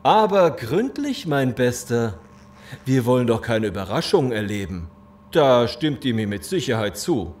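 Man acting smug, genteel villain with lively swoops. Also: medium pitch, measured pace, a little hiss or hum. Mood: gloating. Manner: mock-polite, pompous, taunting. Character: smug, genteel, menacing male gang boss. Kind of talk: German audio drama acting.

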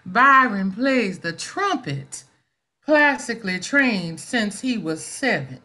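A woman's voice: mid-range voice, big pitch swings, slow, pushed and bright, slight background noise, exaggerated voice. A middle-aged woman playing a proud, mouthy schoolteacher in a play reading on a video call. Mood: reminiscing, polite. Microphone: video call microphone.

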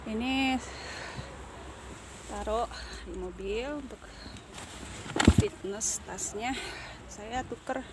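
A woman's voice: fairly high pitch, extremely slow, long pauses. Chatty woman vlogging in a noisy store. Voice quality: chatty, thinking aloud with hums and fillers. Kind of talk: casual vlog narration while shopping. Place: large supermarket, indoors. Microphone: phone camera held while pushing a cart. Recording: loud store noise behind the voice.

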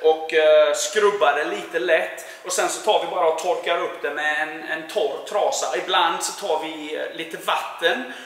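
A man in his forties from Sweden, a painting contractor with a Swedish accent, answering interview questions in a relaxed way with many filler sounds, recorded on a lapel mic in a large indoor warehouse. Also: fairly high pitch, moderate pace, hardly any pauses. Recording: very echoey warehouse with long reverb.

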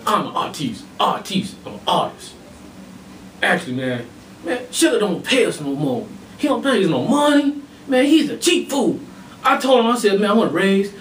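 A man's voice: sits high, a bit bright, a little hiss or hum. A man playing a whiny, underpaid backup singer. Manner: whiny, aggrieved. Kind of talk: comedy sketch acting. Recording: echoey room from a distance.

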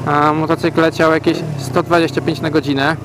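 A man casually vlogging while riding a motorcycle through city traffic, talking in a relaxed, rambling way with many hesitation sounds. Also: fairly high pitch, a little fast. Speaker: motovlogger. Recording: loud engine and wind noise. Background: motorcycle engine and traffic.

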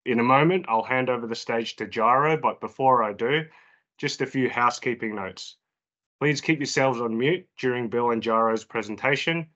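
A man's voice: medium pitch, normal speed, a bit bright. A man hosting a webinar, reading a polite scripted introduction and housekeeping notes. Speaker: webinar host. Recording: dull lo-fi video call audio.

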